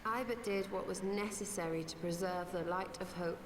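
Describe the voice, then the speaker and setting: An actress playing a composed, resolute female mage scholar in a fantasy game, speaking in measured, formal archaic phrases. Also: on the low side, fairly even intonation, roomy sound, in a large hall, far from the mic, background noise, natural voice. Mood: calm resolve. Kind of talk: scripted fantasy game acting.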